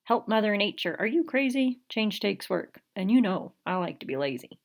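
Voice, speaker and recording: A woman's voice: medium pitch, sing-song, normal speed, creaky. A woman voicing a lazy, grudging uncle in a picture book, with an offhand, reluctant manner.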